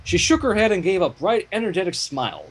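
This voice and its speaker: A man reading a story aloud dramatically, with expressive reactions and asides. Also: high voice, fast, breathy edge. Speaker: fan fiction reader.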